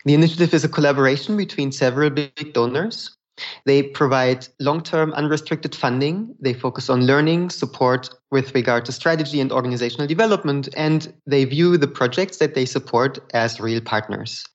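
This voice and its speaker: A man's voice: mid-range voice, a little fast. Male podcast co-host, mid-range voice, explanatory delivery, slightly dull recording. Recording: dull lo-fi audio.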